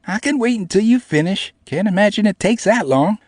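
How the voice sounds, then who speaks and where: A man's voice: high-pitched, expressive, brisk, no echo, studio quality, pitched above the performer's own voice. A man voicing a snarky young woman scientist who crudely teases over an intercom. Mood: mocking. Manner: mocking, crude teasing.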